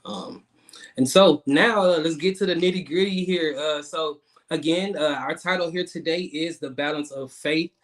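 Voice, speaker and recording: A man, hosting an online talk show in a casual, halting way with many repeated words and fillers. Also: a little high. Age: 30s. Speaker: guest host.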